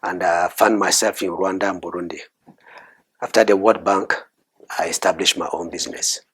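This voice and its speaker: A middle-aged man from Cameroon, a guest in an interview, talking in a measured, soft-spoken way with frequent hesitations. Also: deep voice, steady tone, slightly rough. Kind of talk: interview conversation.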